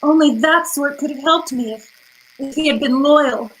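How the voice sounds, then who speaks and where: A woman's voice: high voice, moderate pace, lightly acted, rough recording. A woman playing a pleading, manipulative femme fatale in old-time radio noir style, hesitant, fluttery and melodramatic. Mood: anxious, evasive.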